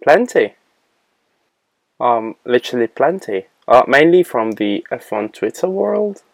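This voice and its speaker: 명랑하게 말을 더듬는 남자 진행자, 영국식과 유럽식이 섞인 억양. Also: male voice, mid-range voice, big pitch swings, a little slow, gravelly, no room sound. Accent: British-sounding English with a European tinge. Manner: playful, rambling with many restarts. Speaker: podcast co-host. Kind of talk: casual podcast chat.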